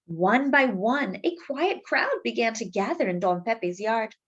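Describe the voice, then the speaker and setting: A woman reads a children's picture book aloud as a storytime host. Her voice is somewhat high and very lively in pitch, with dramatic, expressive delivery aimed at young listeners. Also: measured pace, breathy edge, muffled recording.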